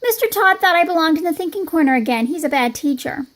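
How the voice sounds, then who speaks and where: A woman's voice: very high voice, expressive, fast, fairly bright tone. A woman voicing a stubborn, proud little girl who talks emphatically, in a children's storybook. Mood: indignant.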